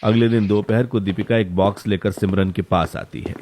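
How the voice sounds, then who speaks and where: A man's voice: lowish voice, fairly even intonation, moderate pace, darkish tone. A man narrating a scripted story as its storyteller.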